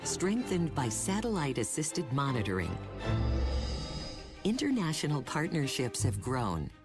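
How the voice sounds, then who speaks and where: A woman reading scripted narration for a documentary in a measured, polished way. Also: very deep voice, huge pitch swings, music in the background.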